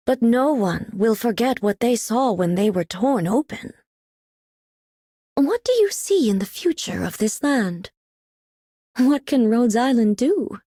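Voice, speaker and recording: Intense, husky, slow-spoken young woman. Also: on the high side, darkish tone, dry recording, studio quality, heavily acted. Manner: deliberate, questioning. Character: intense, searching young woman, grave and insistent. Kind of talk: scripted game voice lines.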